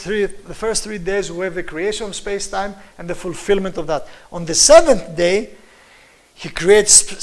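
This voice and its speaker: A middle-aged man with a Greek accent delivers a slide lecture in an earnest, emphatic way. His voice sits fairly high for a man. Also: poor audio. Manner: earnest, emphatic, chuckles at own points.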